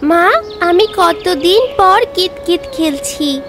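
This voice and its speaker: A woman's voice: very high-pitched, takes it slowly, over music, full character voice. A woman voicing a playful young village daughter in a cartoon, whiny and cheeky.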